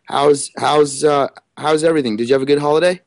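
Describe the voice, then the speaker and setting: A man's voice: a little high, steady tone, brisk, creaky, no echo, clean studio sound. A man making a casual prank phone call, chatting in a rambling, overly friendly way as if he knows the person. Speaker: prank caller.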